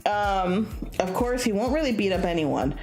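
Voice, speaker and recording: A woman streamer reading a visual novel aloud in a casual, expressive narrating style. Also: mid-range voice, moderate pace, low-quality recording.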